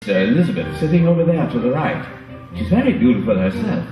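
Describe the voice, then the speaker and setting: A man playing a formal, polite gentleman in a staged radio drama. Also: medium pitch, big pitch swings, fast talker, dull audio, close to an everyday voice. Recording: distant, roomy stage with music.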